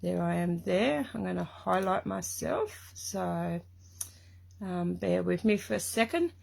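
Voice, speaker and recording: Croaky, gravelly Australian woman. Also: fairly low pitch, animated, slow, darkish tone. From Tasmania, Australia. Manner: chatty, greets viewers by name. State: hoarse, croaky from a cough. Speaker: craft demonstrator hosting a live stream. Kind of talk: casual live-stream chat. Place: home craft room.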